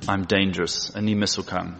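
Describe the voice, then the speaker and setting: A man's voice: deep voice, level tone, brisk, vocal fry, fairly bright tone, soft background music. Middle-aged aussie guy giving a chatty sermon, dry humour, long reverb off the hall. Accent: Australian. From Australia. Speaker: guest preacher and missionary. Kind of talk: conversational sermon. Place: large church room.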